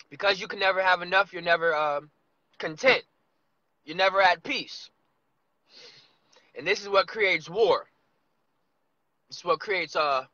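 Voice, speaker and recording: A man in his thirties vlogging from inside a car, musing loosely with drawn-out asides. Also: a little high, sharp tone, no echo. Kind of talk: casual rambling monologue. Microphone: phone camera on dashboard. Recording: lo-fi phone audio.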